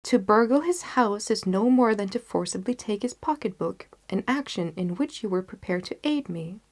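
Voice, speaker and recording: A woman reading the part of a confident, determined gentleman detective in a storybook, with emphatic, articulate delivery. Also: medium pitch, expressive, moderate pace, close to an everyday voice. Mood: earnest, resolute. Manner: emphatic, articulate, self-assured.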